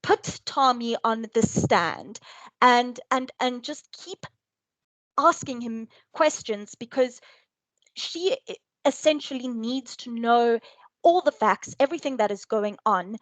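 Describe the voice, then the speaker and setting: A woman's voice: fairly high pitch, lively intonation, no room sound, low-quality recording. A woman and cast member, slightly unwell, speaking hesitantly about her role in a panel discussion. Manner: slow, hesitant, apologetic.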